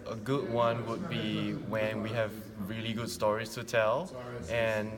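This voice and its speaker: A man from Singapore, a guest in a casual interview, friendly and brief, giving quick affirmations. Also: on the low side, completely flat delivery, in a large hall. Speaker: interview guest, workshop leader. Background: crowd chatter.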